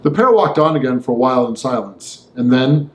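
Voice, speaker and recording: A man's voice: medium pitch, lively intonation, brisk, reverberant. A man reading literary fiction aloud as a scripted audiobook narration.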